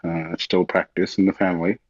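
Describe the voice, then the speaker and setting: A man's voice: deep voice, level tone, brisk, dull audio. A man from South India, a Telugu speaker, chatting hesitantly with lots of ums over an audio app. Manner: hesitant, many ums and uhs. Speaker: guest on a call-in audio room. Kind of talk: casual chat.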